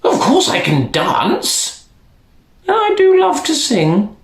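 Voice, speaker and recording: Kindly old woman, high and coaxing, voiced by the storyteller. Storybook. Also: huge pitch swings, slow, roomy sound, a little hiss or hum, exaggerated voice. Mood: gentle.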